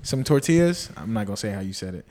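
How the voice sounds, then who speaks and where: A man's voice: fairly low pitch, big pitch swings, fast, crowd noise. A man chatting casually and slangily with a group, with an emphatic, teasing, argumentative way of talking.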